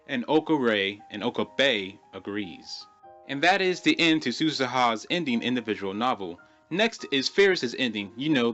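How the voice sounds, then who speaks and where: A man's voice: medium pitch, a bit bright, highs cut off. A man hosting a video, giving an informal, conversational explainer commentary and repeating phrases for emphasis. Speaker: video host.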